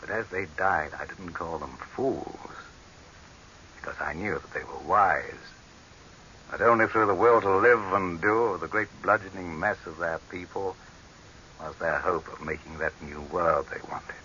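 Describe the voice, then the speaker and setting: An actor playing a proud, tyrannical dictator in a theatrical 1930s radio drama style, declamatory and imperious. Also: male voice, low-pitched, moderate pace, pushed and bright, highs cut off. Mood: grave. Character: tyrannical, proud male dictator, cynical and desperate. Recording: hissy, band-limited 1930s radio recording.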